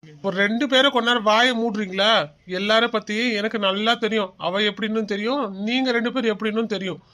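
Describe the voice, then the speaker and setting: A man's voice: high voice, fairly quick. A man voicing a stern father who scolds his family in a cartoon. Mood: angry. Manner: shouting and scolding.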